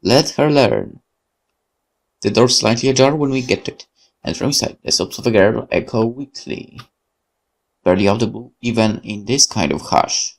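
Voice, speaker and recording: Gravelly man reading a game aloud. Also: lowish voice, normal speed, low-quality recording. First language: Polish. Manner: lively reading with self-corrections and asides. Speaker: let's play host. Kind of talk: reading a horror visual novel aloud.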